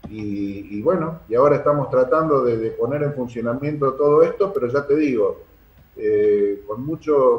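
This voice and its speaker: An Argentine man answering interview questions as a club official, speaking hesitantly and searching for his words. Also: mid-range voice. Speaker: sports club secretary being interviewed. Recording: dull, echoey room sound.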